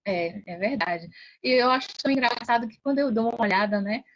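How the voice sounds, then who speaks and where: Female podcast guest, Brazilian accent, higher pitch, casual animated storytelling, lo-fi dull sound. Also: quick pace, a little hiss or hum. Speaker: podcast guest, affiliate seller. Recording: dull, band-limited sound.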